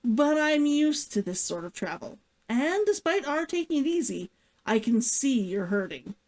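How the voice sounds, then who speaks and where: Storybook role: gruff, caring male traveler, matter-of-fact, swinging intonation read by a woman. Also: high-pitched, big pitch swings, normal speed, darkish tone, pitched above the performer's own voice. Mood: practical, caring. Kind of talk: fiction read-aloud character dialogue.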